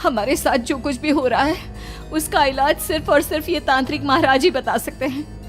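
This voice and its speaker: A woman's voice: high-pitched, normal speed, voice pushed up, natural voice. A woman voicing a protective, anxious village mother in a dramatic story, speaking urgently and emotionally. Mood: anxious, imploring. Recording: music playing behind the voice.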